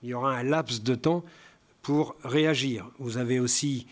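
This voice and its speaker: A man speaking as a local councillor, giving a formal, partly improvised presentation of a report in a halting way, often searching for words. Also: medium pitch. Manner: halting, hesitant delivery with frequent searching for words.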